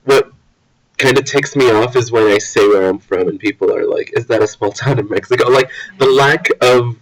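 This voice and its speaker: A man's voice: mid-range voice, poor audio. A young man from Kuwait, an international student speaking hesitantly in an interview. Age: young adult. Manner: hesitant, measured. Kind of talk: documentary interview.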